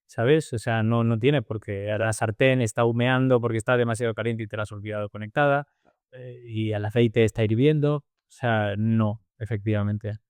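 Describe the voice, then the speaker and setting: A man at an ordinary pitch talks through ideas in a relaxed podcast chat. He builds each point from homely examples and often stops to restart a phrase. The sound is close and dry. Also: clean tone, no room sound. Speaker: podcast co-host. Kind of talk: casual conversational podcast.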